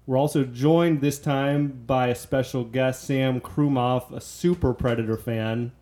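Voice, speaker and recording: A man's voice: mid-range voice, steady tone, a little slow, mellow. A man speaking as a podcast co-host, with casual, conversational commentary on films. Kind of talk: casual chat, film commentary.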